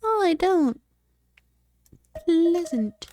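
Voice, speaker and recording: A woman's voice: extremely high pitch, sing-song, drawn-out words, mellow, no echo, clean studio sound. A woman livestreamer chatting casually and reacting while gaming, playful, blunt and sweary. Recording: livestream with faint background noise.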